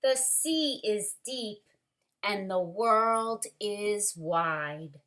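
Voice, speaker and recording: A woman voicing a tiny female sea snail who longs for adventure, in an expressive storybook read-aloud. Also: medium pitch, very slow. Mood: wistful longing.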